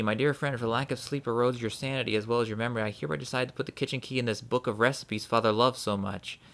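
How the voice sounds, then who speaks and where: A man casually commenting on a game as he plays, thinking aloud and reading the notes he finds out loud. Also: mid-range voice, vocal fry. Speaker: let's play host.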